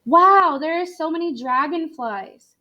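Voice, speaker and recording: A woman voicing an eager, curious schoolchild on a nature hike in a children's storybook, animated and full of wonder. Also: very high voice, measured pace, dull audio, pitched above the performer's own voice, heavily acted. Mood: excited.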